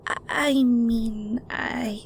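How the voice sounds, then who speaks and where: A woman's voice: fairly high pitch, steady tone, extremely slow, gravelly, a little hiss or hum, heavily acted. A woman playing a meek, nervous young adult daughter in an audio roleplay, hesitant, obedient and defensive.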